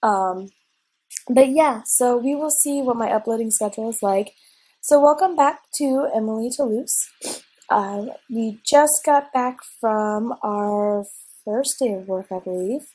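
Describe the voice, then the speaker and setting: Young woman gamer, slightly high and husky, stuffy from a cold, chatty, unscripted home recording. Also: a little slow, a little mellow, rough recording. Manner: rambling, chatty, frequent ums and restarts. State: recovering from a cold, sniffly. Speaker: let's play gamer. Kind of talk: casual gameplay commentary. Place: at home at a desk.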